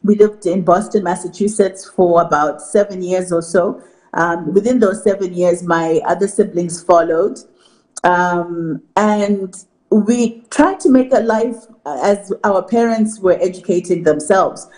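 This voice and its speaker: A middle-aged woman from Zimbabwe, a marketing executive answering TV interview questions in an articulate, measured way. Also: fairly low pitch, darkish tone, rough recording.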